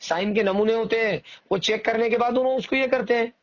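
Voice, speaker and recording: High-pitched indignant man on a phone. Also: fairly even intonation, quick pace. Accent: Marathwada Dakhni Hindi-Urdu. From Parbhani, Maharashtra, India. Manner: indignant, insistent, argumentative, repeating himself. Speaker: election candidate and party worker. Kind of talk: informal phone conversation. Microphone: phone call. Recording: muffled recorded phone call.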